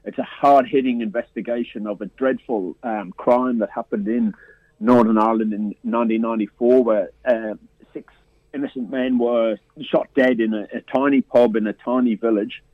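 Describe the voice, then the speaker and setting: A man's voice: medium pitch, steady tone, normal speed, dry recording. A man who programs a film festival talks about films in a radio interview, stopping and restarting often with many fillers. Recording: tinny, dry phone line.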